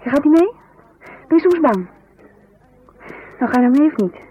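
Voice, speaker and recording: A woman's voice: high voice, animated, moderate pace, mellow. An actress playing an agitated, impatient woman in a naturalistic radio drama, speaking in urgent, clipped phrases. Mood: defiant, impatient. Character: agitated woman, bold and impatient, anxious in crisis. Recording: dull lo-fi 1970s radio recording.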